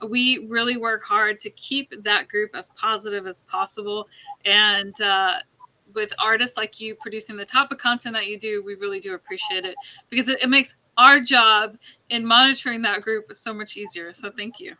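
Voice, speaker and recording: A woman's voice: medium pitch, steady tone, moderate pace, bright, forceful tone, rough recording. A woman interviewing a guest in a conversational way as a talk show host.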